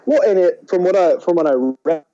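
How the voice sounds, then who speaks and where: A man's voice: high voice, a little fast, warm tone. A man co-hosting a podcast in a laid-back, slangy, casual way. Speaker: podcast co-host. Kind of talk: casual chat. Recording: dull, muffled lo-fi sound.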